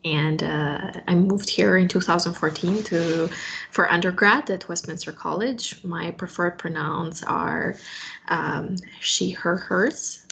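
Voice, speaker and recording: A woman in her twenties from Albania introducing herself as an alumni panelist on a video call, speaking softly with hesitant "uh"s. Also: lowish voice, takes it slowly, vocal fry, a little hiss or hum, rough recording. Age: 20s.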